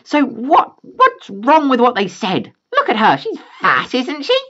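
A storyteller voicing a shrill, scornful entitled mother who mocks a child, on a slightly dull recording. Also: wildly swooping pitch, unhurried, pushed and bright, exaggerated voice. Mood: sneering.

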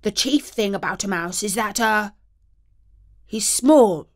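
A woman voicing a scruffy, clever male mutt dog in a storybook reading, speaking loudly and eagerly. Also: medium pitch, slow.

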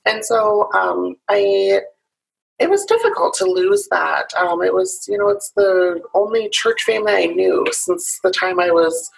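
A young woman from South Carolina, raised in Illinois, speaking as an interview guest over a video call. She talks earnestly and openly, often pausing with ums. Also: medium pitch, animated, gravelly, husky, low-quality recording. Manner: earnest and open, with frequent ums. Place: small home room.

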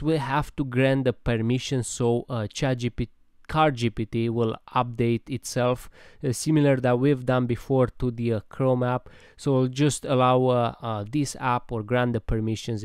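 Man, tech tutorial host, hesitant with fillers, resonant voice, mid pitch. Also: a little mellow, clean tone, no room sound, clean studio sound. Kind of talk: casual walkthrough narration.

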